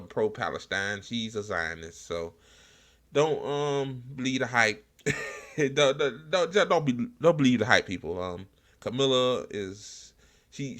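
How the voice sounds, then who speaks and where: An American man hosting a casual, unscripted political commentary show, talking bluntly in slang and profanity with halting, repetitive phrasing. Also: mid-range voice, pushed and bright. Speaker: online political talk show host.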